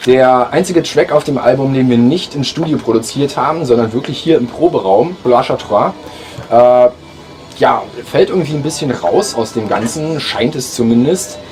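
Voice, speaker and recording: A man's voice: mid-range voice, normal speed, a little mellow. A man from a metal band casually explaining a track in the band's rehearsal room. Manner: casual, explaining with some hesitation.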